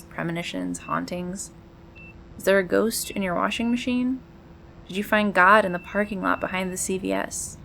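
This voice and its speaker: A woman playing a mysterious, tender host of an otherworldly late-night radio show, speaking in a measured, cryptic, intimate monologue. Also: medium pitch, gravelly, fairly bright tone, slight background noise. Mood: inviting, wry. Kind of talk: scripted monologue in a fiction podcast styled as radio.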